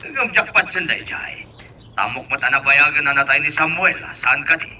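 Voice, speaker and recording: A man's voice: a little high, animated, fairly quick, pushed and bright. A man acting a pushy, suspicious boss who demands answers in an Ilocano radio drama. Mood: demanding. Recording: distant microphone.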